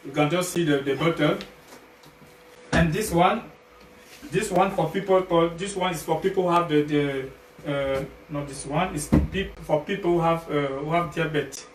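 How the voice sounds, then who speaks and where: A man from Cameroon with a Cameroonian accent presents products informally, in a halting way that repeats and rephrases as he goes. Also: on the high side. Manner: halting, repetitive, rephrasing phrases several times. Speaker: health product sales partner, guest presenter. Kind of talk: informal livestream product pitch. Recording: echoey, noisy, distant livestream mic.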